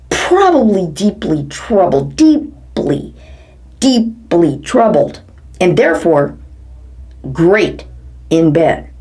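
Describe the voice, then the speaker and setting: A woman from the United States giving a theatrical satirical reading, swinging between low mutters and high exclamations. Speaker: performance artist. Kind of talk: satirical dramatic reading of quotes. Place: home living room.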